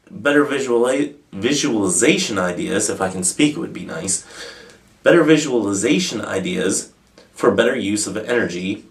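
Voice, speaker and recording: Guy with a deep voice doing a casual q&a, rambles a bit, pokes fun at himself. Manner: informal, rambling, self-deprecating. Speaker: host of a pagan question-and-answer video channel. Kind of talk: casual, unscripted answering of viewer questions.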